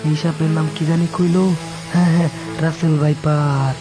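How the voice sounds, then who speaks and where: A man voicing a talkative, excitable fox husband in a cartoon, with a colloquial eastern Bangladeshi accent and folksy exclamations. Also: on the high side, normal speed, lightly acted, rough recording. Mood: alarmed. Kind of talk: animated cartoon dialogue. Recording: music behind the voice.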